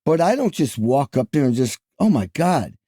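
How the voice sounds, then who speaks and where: A man's voice: mid-range voice, very animated, moderate pace, warm tone, breathy edge, no room sound, studio quality. A man hosting a podcast interview, talking in a casual, emphatic and enthusiastic way. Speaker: podcast host, drummer.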